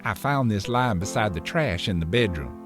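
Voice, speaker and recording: A man's voice: fairly low pitch, expressive, normal speed, over music, natural voice. A man playing a devoted, reformed husband in an audio drama, speaking sincerely and tenderly. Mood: knowing. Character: devoted, reformed-drinker husband, gently scheming.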